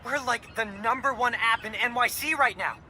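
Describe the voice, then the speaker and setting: An actor playing an excitable teenage boy, a tech-savvy best friend in a video game, rambling with lots of fillers. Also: very high voice, normal speed, a little hiss or hum, heavily acted. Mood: excited. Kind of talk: video game cutscene acting.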